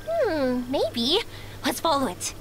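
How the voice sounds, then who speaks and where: Encouraging young woman, high girlish pitch, lively swings, anime game character, music underneath. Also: slow, heavy background noise, heavily acted. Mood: playful. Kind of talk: English anime-style video game voice acting.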